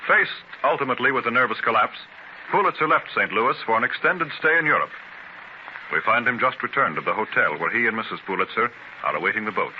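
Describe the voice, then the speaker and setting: A man's voice: mid-range voice, expressive, fast, lightly acted. A man narrating a 1930s radio biography drama in a formal, dramatic announcer's delivery. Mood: stately. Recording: noisy, dull 1930s radio transcription.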